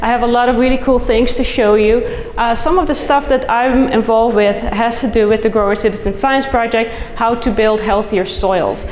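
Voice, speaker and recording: A woman's voice: on the high side, normal speed, darkish tone. A middle-aged woman from the Netherlands with a Dutch accent, giving an enthusiastic, chatty conference talk as a soil scientist, full of fillers and restarts. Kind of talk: conference presentation. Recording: echoey hall recording, faint noise.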